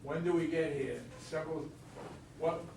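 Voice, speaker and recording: A man's voice: a little high, normal speed, gravelly, far from the mic. Blunt husband in an echoey, noisy room, read by a man. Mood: weary musing. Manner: blunt, colloquial, grumbling. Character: brash, defensive, world-weary gambler husband and breadwinner. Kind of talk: amateur staged play reading. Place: indoor room at a live reading.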